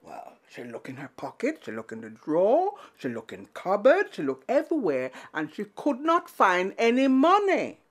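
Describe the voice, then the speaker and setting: A woman storyteller telling a tale to children in an animated, dramatic way, building through repetition and pauses. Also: mid-range voice.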